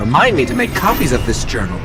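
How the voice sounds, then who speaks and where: A small robot sidekick from a video game, earnest and wry. The voice is high and swoops expressively, with a light synthetic filter. Loud music plays behind it. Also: male voice, huge pitch swings, fast talker, gravelly, exaggerated voice. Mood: wry, curious. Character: small floating robot companion, earnest, wry, quick-witted.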